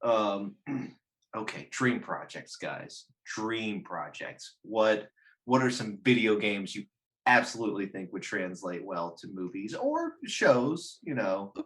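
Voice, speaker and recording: A man's voice: on the low side, sing-song, slow pace, a bit bright. A man hosting a casual, informal chat about films and games, swearing freely as he goes. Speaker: podcast host.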